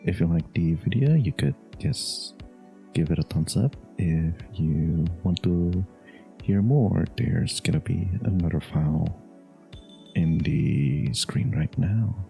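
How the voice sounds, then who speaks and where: A man's voice: sits low, animated, takes it slowly, gravelly, mellow, faint music behind. A man who makes audio roleplays, speaking casually and directly to his listeners in an outro.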